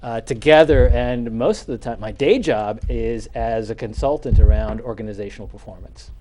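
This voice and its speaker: A middle-aged man speaking as a talk-show guest. His voice sits at an ordinary pitch, and he explains things at a measured pace with frequent "uh"s and restarts. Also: noisy background. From Charleston, South Carolina. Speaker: guest, NAACP chapter president. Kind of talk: casual talk-show interview.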